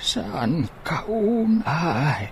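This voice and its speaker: A very slow, ailing old father. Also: on the high side, big pitch swings, full character voice. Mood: weak, pleading. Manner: laboured, halting delivery. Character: ailing old father and business owner, weary. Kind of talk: comedic radio drama acting. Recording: noisy radio drama recording.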